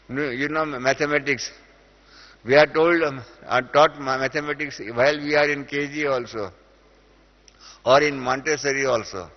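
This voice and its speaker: A middle-aged man with an Indian accent, teaching a spiritual class and explaining through everyday examples, with many hesitant "uh" fillers. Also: mid-range voice. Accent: Indian English. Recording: dull lo-fi audio with long reverb in a hall.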